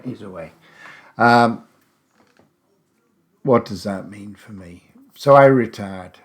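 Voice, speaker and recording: A man in his seventies, host of a podcast, talking about himself in a chatty, rambling way with wry asides. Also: on the low side. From England. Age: 70s. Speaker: podcast host, English teacher. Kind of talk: casual personal monologue.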